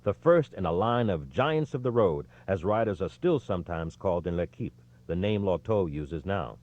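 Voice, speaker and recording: A man with a lowish voice narrates an audiobook in a scripted, storytelling read. His intonation swings widely, with emphatic phrasing. The audio is slightly dull and lo-fi. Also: expressive, normal speed, darkish tone. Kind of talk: scripted narration, reading a history book aloud.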